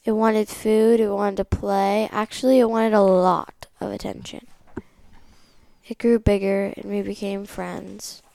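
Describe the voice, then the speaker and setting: A woman's voice: mid-range voice, little pitch movement, a little slow, vocal fry, dark tone, no echo. A woman reading a picture book aloud as the host of a read-along.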